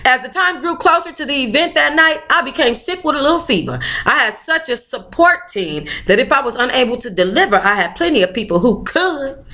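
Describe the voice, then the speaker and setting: Woman, book club reader, bright tone, very lively intonation, sassy, informal, playful asides. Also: high voice, a little fast, hardly any pauses. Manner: animated, sassy, informal interjections. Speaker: book club host reading a memoir aloud. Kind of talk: expressive read-aloud with playful ad-lib commentary.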